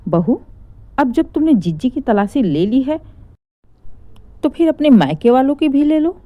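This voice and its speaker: A woman's voice: sits high, very animated, unhurried, dry recording, highs cut off, pitched above the performer's own voice. Woman playing a stern, indignant mother-in-law, commanding. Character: stern, indignant mother-in-law scolding her son's wife.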